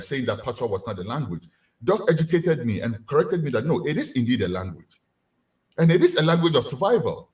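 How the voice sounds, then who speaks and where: A Ghanaian man giving a community lecture, asking rhetorical questions to his listeners. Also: a little high. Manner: animated, rhetorical questions to the audience.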